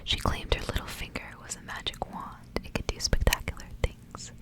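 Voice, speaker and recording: Whispering woman reading a story, ASMR style. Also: normal speed. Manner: hushed, unvoiced whispering throughout. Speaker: ASMR reader.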